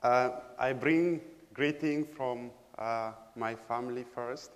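Ethiopian male guest preacher, mid pitch, measured and halting, reverberant church sanctuary. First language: Amharic. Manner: measured, halting with frequent pauses and fillers. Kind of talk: sermon.

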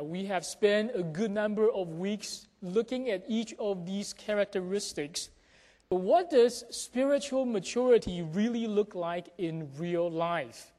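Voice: medium pitch, a little mellow. Pastor addressing a congregation in a reverberant hall. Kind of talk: church announcements and sermon. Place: church sanctuary during a service.

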